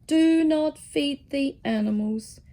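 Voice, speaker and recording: A woman plays a bossy storybook notice board at a zoo. It speaks in a high, emphatic, commanding voice, stern and louder than ordinary reading. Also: takes it slowly. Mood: stern warning.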